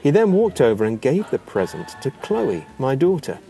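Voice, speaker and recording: A man narrating a written memoir in an expressive, measured, theatrical storytelling manner. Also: mid-range voice, vocal fry, soft background music.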